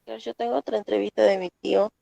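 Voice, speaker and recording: A woman student, describing her interview work in a halting, unsure way. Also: mid-range voice, steady tone, gravelly, mellow, dry recording. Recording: dull, muffled audio.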